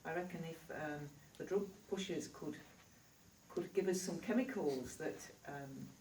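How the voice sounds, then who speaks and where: A woman with a Yorkshire accent speaks to an audience in a chatty, reassuring way. Her voice sits a little low and rings around a large reverberant hall, heard from some distance, with faint room noise behind. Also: a little slow, mellow. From Yorkshire, England. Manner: chatty, reassuring, laughs easily. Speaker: spiritual healer. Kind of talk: live stage talk to an audience. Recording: echoey hall recorded from afar.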